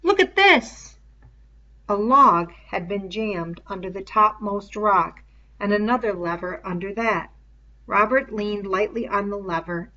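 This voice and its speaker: A woman's voice: medium pitch, pushed and bright, dull audio. A woman reading a story aloud expressively, with explanatory asides. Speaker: reader.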